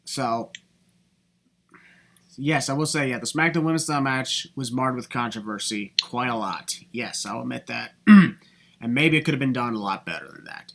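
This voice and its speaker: A man's voice: mid-range voice, moderate pace, gravelly, fairly bright tone. A young man hosting a casual podcast discussion, talking emphatically and circling back to the same phrase to hold the floor. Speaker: podcast host.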